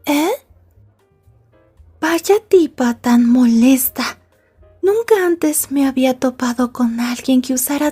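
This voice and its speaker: A woman playing a sweet, possessive schoolgirl girlfriend in an ASMR roleplay, soft and polite with controlled menace. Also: high-pitched, breathy edge, full character voice. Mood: protective, menacing. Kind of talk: Spanish ASMR roleplay acting.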